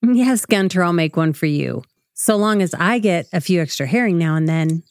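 Radio drama role: hardworking middle-aged wife played by a woman, fond but wry, slightly low voice, very dry close recording. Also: animated, normal speed, dry recording. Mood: friendly bargaining.

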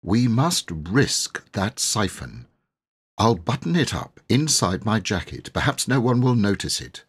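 Boy ringleader for a storybook, male reader performing: bossy, bold, emphatic, animated pitch, slightly rough tone. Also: full character voice. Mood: planning. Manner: commanding, deliberate, emphatic.

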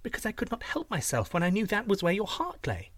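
Man doing a high, sweet-talking storybook princess. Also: wildly swooping pitch, fast, fairly bright tone, no room sound, exaggerated voice. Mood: coaxing, flattering. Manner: coaxing, airy, sweetly persuasive. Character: bold, sweet-talking captive princess, cunning and convincing.

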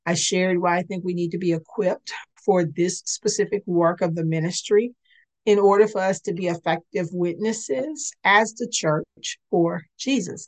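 A woman with a somewhat low voice hosts a faith-based podcast. Her tone is earnest and conversational. A slight rasp roughens her speech. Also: a little mellow. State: slightly raspy voice. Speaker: podcast host and licensed clinician.

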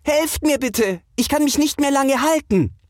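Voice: high voice, lively intonation, measured pace, dry recording, clean studio sound, pitched above the performer's own voice. A narrator voicing a frightened small child who pleads fearfully in a children's audio story. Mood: terrified. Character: frightened child stuck high in a tree.

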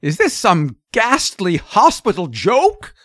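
A man voicing a panicky, indignant gentleman, a new father, in an audiobook story with frantic exclamations. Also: a little high, wildly swooping pitch, normal speed, dry recording, studio quality, voice pushed up, full character voice. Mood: enraged, frantic.

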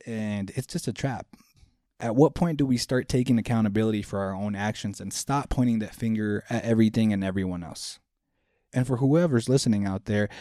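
Podcast host, male, thirties, warm mellow tone, dry close sound, sincere motivational delivery. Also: medium pitch, no room sound. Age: 30s. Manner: earnest, repetitive, speaks straight to listeners. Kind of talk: motivational self-help talk.

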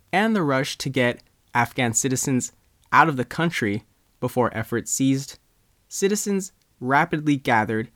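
A male news reporter reads a scripted article in a measured way, breaking sentences into short phrases. His pitch sits in the middle for a man, and the sound is dry, with very little room in it. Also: no room sound. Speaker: news reporter and writer reading own article.

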